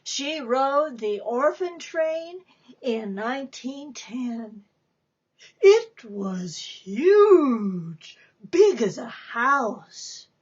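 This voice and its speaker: A woman giving a dramatic reading of a story, deliberate and theatrical, with emphatic pauses. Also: high voice, big pitch swings, dull audio. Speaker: author reading from own book.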